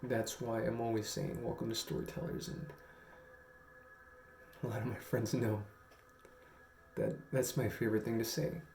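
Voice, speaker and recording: A young man talking reflectively to camera in a slow, halting, earnest way with long pauses. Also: lowish voice, little pitch movement, vocal fry, darkish tone, a little hiss or hum. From Guam. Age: young adult. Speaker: storytelling vlogger. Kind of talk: reflective personal talk to camera. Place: small room at home, indoors. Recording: roomy echo, faint music.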